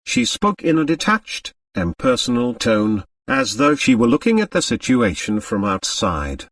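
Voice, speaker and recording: A man reads a novel aloud as an audiobook narrator. His delivery is expressive, with wide melodic movement. The recording is dry and sits slightly back from the microphone. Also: medium pitch, unhurried, gravelly, dry recording, distant mic. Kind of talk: expressive scripted story narration.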